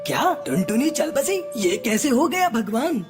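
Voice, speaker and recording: A cruel, greedy uncle crow from a cartoon tale, put on by a woman. He is theatrical and gloating, with wide pitch swings and slow delivery over music. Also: sing-song. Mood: mock shock. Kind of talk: Hindi children's cartoon story voice acting.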